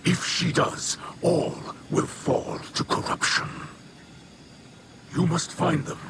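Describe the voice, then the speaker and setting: A solemn alien elder from a video game, speaks slowly and formally, as a weary leader and father would. Every phrase is weighty and grave. Game ambience runs noticeably behind the voice. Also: on the high side, steady tone, creaky, heavy background noise. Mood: grave urgency. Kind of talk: video game cutscene voice acting.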